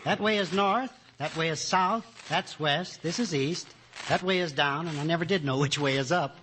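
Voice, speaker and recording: A man's voice: on the high side, moderate pace, natural voice. A man playing a maddeningly literal, polite and muddled stranger in an old radio comedy. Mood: politely obtuse. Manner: polite, obtuse, wordplay-prone patter. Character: maddeningly literal, muddle-headed man giving useless directions. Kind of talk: 1940s-50s radio comedy acting. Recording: noisy old radio recording with clicks.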